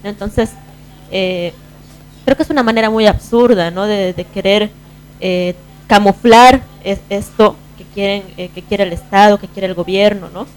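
Clear, smooth-voiced woman on radio. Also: mid-range voice, normal speed, a little mellow, rough recording. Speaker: radio panelist. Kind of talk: live radio discussion. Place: radio studio.

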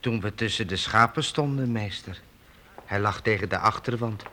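Lowish, hesitant young apprentice. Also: male voice, moderate pace, bright, forceful tone. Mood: hesitant, earnest. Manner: hesitant, respectful. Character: young, deferential minstrel's apprentice, eager and hesitant. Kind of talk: radio play acting.

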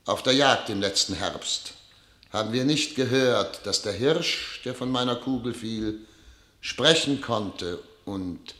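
A man's voice: mid-range voice, lively intonation, takes it slowly, sharp tone. An actor playing a solemn, disillusioned ruler who addresses his ministers in a grand, rhetorical radio drama style. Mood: regretful, solemn. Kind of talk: theatrical radio drama oratory. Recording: echoey hall, reverberant studio radio drama.

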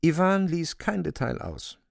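A man's voice: a little high, very animated, unhurried, creaky, dry recording, clean studio sound. A man narrating a radio drama in a scripted, literary storytelling style.

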